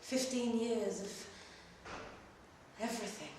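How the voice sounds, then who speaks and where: A woman performing a literary short story at a live reading, in an expressive, measured way with pauses between phrases. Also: a little high. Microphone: distant microphone. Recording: very echoey room, distant mic, faint noise.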